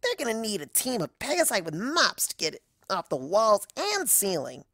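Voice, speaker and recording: A woman's voice: mid-range voice, huge pitch swings, normal speed, fairly bright tone, no echo, studio quality, heavily acted. A woman voicing a brash, cocky young female pegasus pony with a scratchy, teasing delivery.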